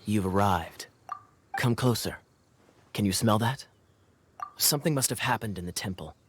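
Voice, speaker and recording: A man playing a suave, teasing young knight captain in a video game, with a sly, smooth-talking, theatrical delivery. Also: lowish voice, normal speed, slight background noise, natural voice. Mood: intrigued, sly.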